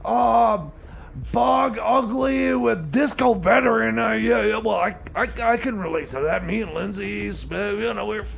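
High gravelly flustered radio deejay. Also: male voice, slight background noise, full character voice. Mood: flustered, exasperated. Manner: stammering, blustering, halting. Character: blustery, flustered male radio deejay, easily exasperated. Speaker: radio host. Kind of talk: comedy radio theater, music show banter.

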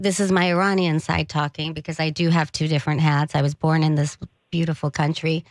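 A woman's voice: sits low, no room sound. A woman hosting a talk radio interview in a small studio, speaking conversationally with loose, searching phrasing. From United States. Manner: conversational with many fillers and restarts. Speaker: radio host. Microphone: studio mic with headphones.

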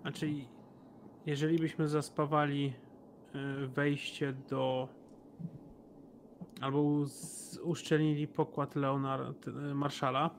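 A man's voice: on the high side, flat delivery, slow, vocal fry, long pauses, a little hiss or hum. A man playing a tabletop role-playing game, casually discussing plans with other players.

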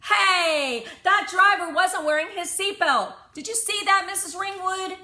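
A woman voicing an anxious young girl who insists on every rule, calling out in a shrill, urgent voice. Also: a little slow, bright, forceful tone, full character voice. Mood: insistent. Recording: roomy echo.

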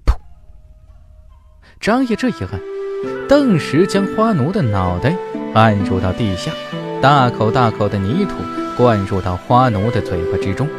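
A man's voice: medium pitch, very animated, unhurried, long pauses, over music. A man narrating a fantasy audiobook from a script in a dramatic, emphatic storytelling style.

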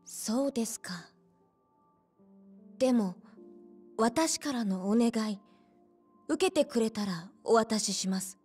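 A woman playing a gentle, earnest young hostess in a Japanese game cutscene, speaking politely and softly. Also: fairly high pitch, animated, slow pace, pauses often, breathy edge, faint music behind, heavily acted. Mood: anxious, earnest.

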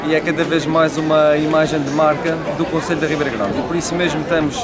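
A man in his forties with an Azorean accent speaks in a measured, campaign-style way, his voice sitting a little high. He is recorded outdoors at a busy market, and a loud crowd chatters behind him. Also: steady tone, very noisy. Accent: Azorean Portuguese. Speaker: local politician. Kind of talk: street interview.

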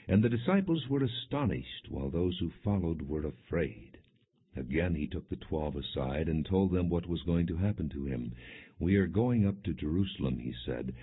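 A man narrating scripture as an audiobook in a measured, deliberate, expressive way. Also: deep voice, slightly rough. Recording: muffled, phone-like band-limited audio.

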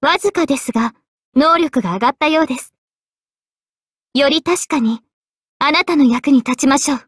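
A woman voicing a young, regal and gentle guardian spirit of a holy sword in an anime game, speaking politely and with measured poise. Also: high voice, animated, no room sound, studio quality. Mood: polite, pleased. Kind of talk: Japanese anime game voice acting. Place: studio. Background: faint background music.

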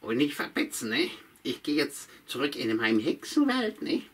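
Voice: mid-range voice, sing-song, normal speed, slightly rough. A puppeteer voicing a sly old witch who chats to herself and hums tunes in a puppet show. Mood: muttering. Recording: voice from a distance.

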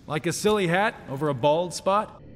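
An actor playing a cheeky young heroic fighter in a video game, flippant and full of wisecracks. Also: male voice, a little high, moderate pace, lightly acted. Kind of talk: video game character acting. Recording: long echo, clear background noise.

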